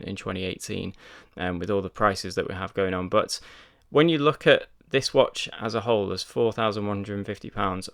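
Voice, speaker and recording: Gravelly-voiced man reviewing a watch. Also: lowish voice, fairly bright tone. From United Kingdom. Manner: chatty, full of ums and doubled words. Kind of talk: casual product review to camera.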